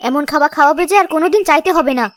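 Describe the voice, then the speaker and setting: A woman's voice: high-pitched, racing, no room sound, close to an everyday voice. A woman voicing a scheming, irritable village sister-in-law in a cartoon, bossy and slyly coaxing. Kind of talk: cartoon story voice acting.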